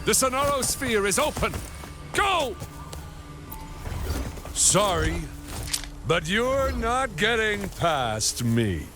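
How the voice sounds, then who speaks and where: A man's voice: big pitch swings, extremely slow, long pauses, over music, exaggerated voice. An actor playing a scheming, mocking male villain in a game cutscene, with a theatrical, taunting delivery. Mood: gloating. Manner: taunting, theatrical swings in pitch. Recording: game score underneath.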